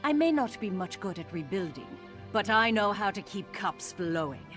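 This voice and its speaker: A woman's voice: mid-range voice, sing-song, normal speed, over music, close to an everyday voice. An actress playing a wry, sociable female tavern keeper in a video game, teasing in tone.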